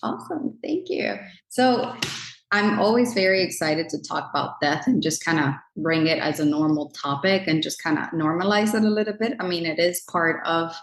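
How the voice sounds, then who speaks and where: A woman's voice: low-pitched, moderate pace. A woman hosting a podcast interview, chatty and enthusiastic, with lots of easy fillers. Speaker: podcast host. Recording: echoey room.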